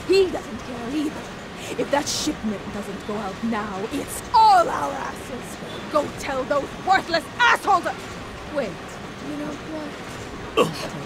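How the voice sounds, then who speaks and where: A woman's voice: sits high, sing-song, slow pace, noisy background, full character voice. A woman playing a feral, arrogant, hot-tempered vampire villainess in a video game, theatrical, snarling and foul-mouthed. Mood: irritated, taunting. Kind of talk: video game cutscene acting.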